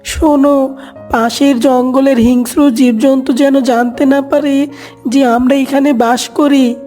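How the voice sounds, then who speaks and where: Woman as a high-voiced, fretful mother duck. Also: fairly even intonation, unhurried, mellow, soft background music. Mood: worried. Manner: earnest, fretful. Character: worried mother duck, protective of her eggs.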